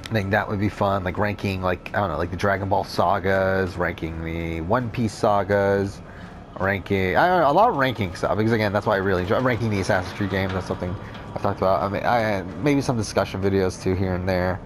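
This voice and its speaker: A young man with a cold, rambling through casual gameplay commentary, talking off the top of his head with a deep, unclear voice and frequent swearing. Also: a little fast, background noise. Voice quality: deep, not clear. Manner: rambling, unscripted, says whatever comes to mind, swears often. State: has a cold. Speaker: Let's Play commentator.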